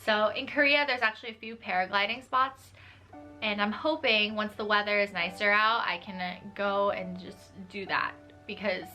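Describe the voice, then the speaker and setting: A woman's voice: medium pitch, steady tone, moderate pace, pushed and bright, soft background music, poor audio. A young woman, talking casually to camera from her bedroom, often saying "like" and laughing lightly. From New York. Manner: chatty, uses "like" a lot, laughs lightly. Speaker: vlogger. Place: small bedroom with desk and tapestry, indoors.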